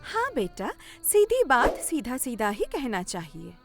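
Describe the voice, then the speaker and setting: A woman's voice: a little high, wildly swooping pitch, measured pace, soft background music, exaggerated voice. A woman voicing a cruel, greedy stepmother in a cartoon story, taunting and bossy. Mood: scornful. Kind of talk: Hindi cartoon moral-story villain acting.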